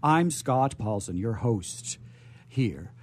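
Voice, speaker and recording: Man, radio host, swooping intonation, mid pitch, playful, theatrical, off-the-cuff rambling, noisy live recording. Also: animated, slow.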